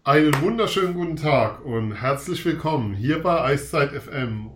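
A man's voice: a little high, reverberant. A man with a cold, hosting a casual sports podcast in an upbeat, chatty way. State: has a cold. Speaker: podcast host.

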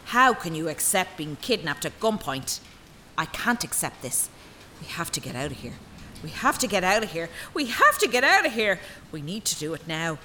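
An actress playing an anxious, indignant young student daughter in a radio drama, pleading urgently in an Irish accent. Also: female voice, fairly low pitch, very animated, normal speed, pushed and bright, a little hiss or hum. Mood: panicked, urgent. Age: young adult. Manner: pleading, urgent, repeating herself.

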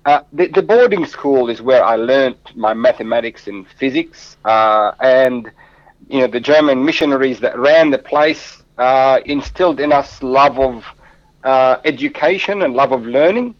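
An earnest man whose first language is Arabic tells his story in English, circling back on his words as he goes. His voice sits at an ordinary middle pitch. The studio sound is dry and a little muffled. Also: unhurried, dry recording. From Lebanon. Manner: earnest, warm storytelling with frequent restarts. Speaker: guest. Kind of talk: interview answers.

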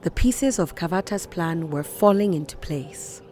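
A woman's voice: lowish voice, expressive, unhurried, darkish tone, soft background music. A woman reading scripted literary narration for audio fiction.

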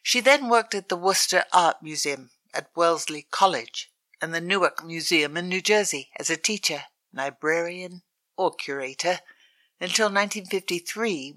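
A woman reading a written obituary aloud in a measured, even way. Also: on the low side. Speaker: reader.

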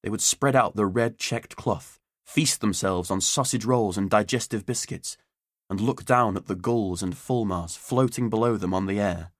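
Low-voiced man narrating a story. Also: moderate pace, no echo, studio quality. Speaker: audiobook narrator.

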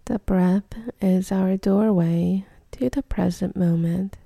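Slow, very soft, dark-toned woman guiding meditation. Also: medium pitch, fairly even intonation, creaky, dry recording. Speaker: meditation guide. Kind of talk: guided meditation.

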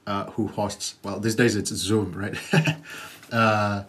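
A man's voice: lowish voice, fairly even intonation, slow pace, a bit bright. A man, a podcast guest who speaks thoughtfully and hesitantly, with many fillers and "right?" tags. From Uganda. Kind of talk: conversational podcast interview.

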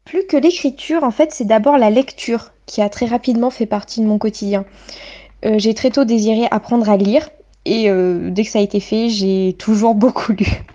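A woman's voice: a little high, normal speed, warm tone, lo-fi sound. A woman in her late twenties giving articulate, literary, self-deprecating personal testimony as a guest in a radio interview.

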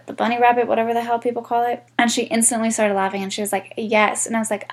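Congested woman, mid-twenties, casual vlogger, swears, rambles. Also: on the high side. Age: 20s. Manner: candid, swears freely, rambling. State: congested, stuffy nose. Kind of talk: casual chat to camera.

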